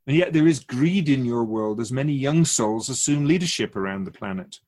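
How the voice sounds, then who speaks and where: Give this voice to a wise, otherworldly keeper of souls played by a man in a radio drama. The delivery is measured and formal, with a slightly husky tone at a middle pitch. It counsels humanity like a patient teacher. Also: expressive, a little mellow, lightly acted. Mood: patient admonishing. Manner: measured, formal, didactic, rhetorical questions.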